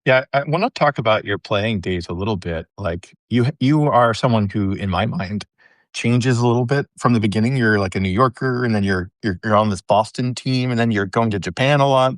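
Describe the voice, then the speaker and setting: Chill guy hosting a video call chat, lowish voice, dry room. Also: brisk, dry recording. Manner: relaxed, chatty questions. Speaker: host. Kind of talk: casual interview chat.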